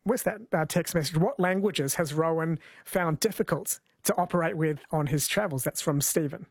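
A man's voice: a little high, normal speed, no echo, clean studio sound. A man hosting a radio interview, chatting with his guest and reading out listener texts in a conversational way.

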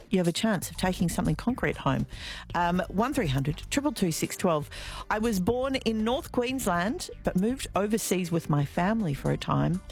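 An Australian woman presenting on local radio from a studio mic. Her pitch swings widely as she chats, teases and repeats quiz clues. Her tone stays casual and playful. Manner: chatty, jokey, repeats clues. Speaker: radio host.

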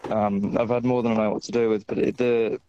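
An Australian man calling a radio show, his voice a little croaky from a cold. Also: on the low side, level tone, fairly quick, mellow, muffled recording, a little hiss or hum. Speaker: caller.

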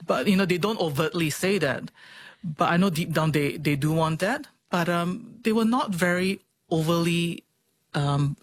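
A woman's voice: sits low, no room sound. A woman in her forties with a Singaporean accent, talking earnestly and expressively about herself as an interview guest. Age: 40s. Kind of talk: reflective personal interview.

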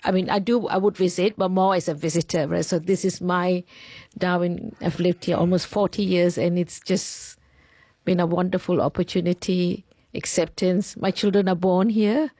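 A middle-aged woman from Singapore with a Singaporean accent, a teacher answering interview questions in measured, list-like phrases with pauses. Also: fairly low pitch, steady tone, dark tone, no room sound.

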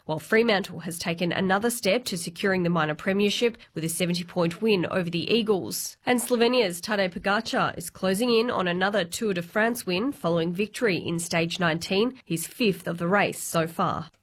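A woman with an Australian accent reading scripted sports results in a brisk, even way. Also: lowish voice. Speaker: sports presenter.

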